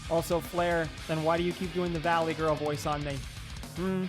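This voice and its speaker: Higher-voiced man chatting over clear music. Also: little pitch movement, normal speed. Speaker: streamer. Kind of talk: casual chat. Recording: music playing behind the voice. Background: plucked guitar music.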